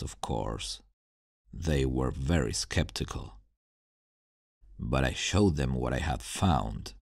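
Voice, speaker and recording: A man playing an embittered scientist turned supervillain in a dramatic ASMR roleplay monologue, telling his story in a brooding, deliberate, theatrical way. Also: extremely low pitch, gravelly, darkish tone, slightly rough, dry recording, clean studio sound, exaggerated voice.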